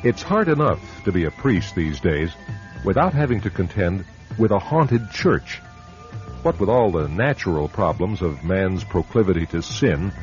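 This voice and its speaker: A male radio announcer narrates a suspense tale in a lowish voice with dramatic, expressive swings. The delivery is measured and scripted. It sounds like a muffled old broadcast with music faintly underneath.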